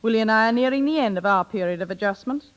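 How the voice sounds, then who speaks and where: A woman's voice: on the high side, rapid-fire, close to an everyday voice. An actress playing a worldly, wryly amused married woman in a 1930s radio drama, with a dry, knowing manner. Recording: dull 1930s radio recording.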